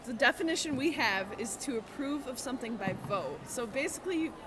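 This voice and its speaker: Higher-voiced young woman running a casual quiz. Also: expressive, fairly quick, over loud music. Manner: giggly, informal. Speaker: street quiz interviewer. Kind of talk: casual on-the-street quiz, light and chatty. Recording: busy public crowd noise behind.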